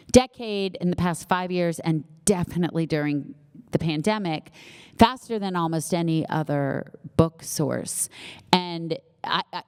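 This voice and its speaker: A middle-aged woman with a slight lisp talks with an event audience in a loose, self-deprecating way, full of "um"s and asides. Her voice sits a little low and sounds smooth and clear. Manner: chatty, warm-humored, self-deprecating, many fillers. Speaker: author at book launch. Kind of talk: casual Q&A chat with audience. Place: event hall with live audience.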